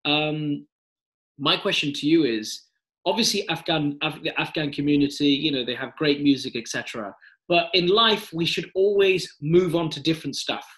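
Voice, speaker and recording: Guy interviewer, slightly high voice, long wandering questions, lots of et cetera. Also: moderate pace, fairly bright tone. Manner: rambling questions, frequent et cetera.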